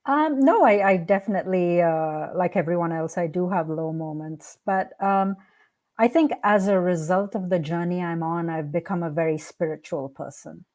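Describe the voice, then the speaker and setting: A woman with a fairly low, soft-edged and mellow voice speaks as an interview guest. Her native language is Hindi. She answers conversationally, in a reflective and earnest manner. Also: moderate pace. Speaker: podcast guest, art curator and founder.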